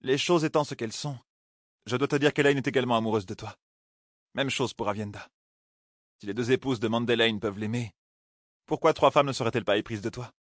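A man's voice: medium pitch, a little fast, long pauses, no room sound, pitched above the performer's own voice, lightly acted. Fantasy audiobook role: stubborn, outspoken young woman in love, defiant and teasing, dry recording male narrator performing. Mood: frank, tender. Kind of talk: audiobook character dialogue.

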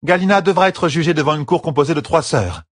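A man's voice: a little high, quick pace, dry recording, clean studio sound, pitched above the performer's own voice, lightly acted. A man voicing a haughty, stern woman healer in an audiobook, with a curt, imperious and formal manner.

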